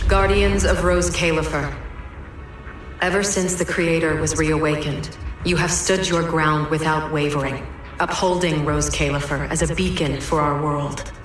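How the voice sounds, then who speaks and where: A solemn, stately leader, heavily echoing. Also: female voice, low-pitched, fairly even intonation, a little hiss or hum. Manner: measured, grave, oratorical. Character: solemn, stately female leader addressing her guardians. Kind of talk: formal ceremonial speech, video game acting. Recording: echoey large space, faint music behind.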